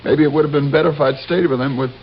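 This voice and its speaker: Actor as a grieving young man, gravelly and halting. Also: fairly high pitch, fairly even intonation, natural voice. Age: young adult. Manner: halting, repeating words. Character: grieving, exhausted young widower who survived a mountain disaster. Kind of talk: old-time radio drama acting. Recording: dull, hissy old radio recording.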